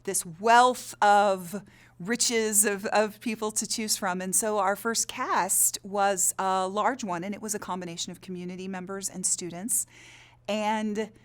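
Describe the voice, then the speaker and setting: A woman's voice: mid-range voice, fairly even intonation, unhurried. A woman, a drama teacher and director, talking in an interview in an enthusiastic, emphatic way. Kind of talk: interview recollection.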